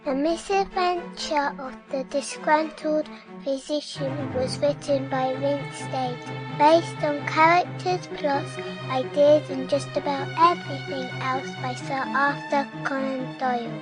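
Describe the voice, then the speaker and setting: A woman's voice: sits high, steady tone, unhurried, music in the background. A woman reading scripted closing credits as an announcer. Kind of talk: scripted narration.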